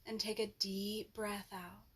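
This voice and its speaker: A woman leading a guided yoga nidra relaxation as the instructor, with gentle, soothing instructions and long pauses. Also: mid-range voice, level tone, breathy edge.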